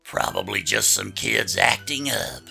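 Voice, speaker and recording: A man's voice: lowish voice, lively intonation, unhurried, vocal fry, sharp tone, soft background music, voice pushed up, heavily acted. A man voicing a fierce, bossy witchy schoolteacher woman with a scratchy voice, in a storybook reading. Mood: sly. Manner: stern, commanding.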